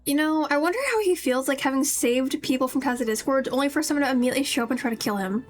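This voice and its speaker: A woman's voice: sits high, very fast. A woman streaming a game, giving casual live commentary in an easy chatty style.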